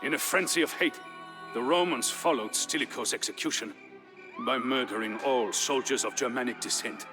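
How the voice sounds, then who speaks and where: A man narrating as a grave Visigoth storyteller, recounting war history in a solemn, measured, theatrical way for a historical game. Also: medium pitch. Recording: music behind the voice. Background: music.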